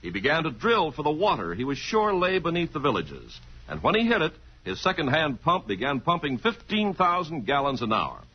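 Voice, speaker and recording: Animated, husky American radio announcer man. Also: mid-range voice. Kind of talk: mid-century radio scripted narration. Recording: dull old radio broadcast recording.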